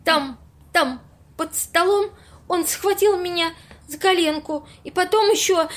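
A woman's voice: very high-pitched, a little slow, a bit bright. A woman acting a timid, superstitious young woman in an amateur audio play, plaintive and easily frightened.

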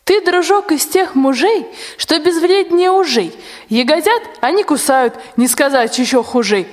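A woman's voice: sits high, expressive, unhurried. A young female student playing a mocking, scolding old nanny in a rhymed folk-tale radio play. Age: young adult. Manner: mocking, folksy rhymed declaiming. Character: sharp-tongued, scolding old nanny to the tsar. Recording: long hall reverb.

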